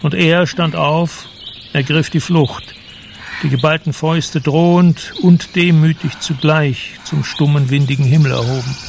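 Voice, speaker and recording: A man's voice: fairly high pitch, fairly even intonation, measured pace, gravelly, a little hiss or hum. A man narrating a radio drama in a literary, scripted storytelling style.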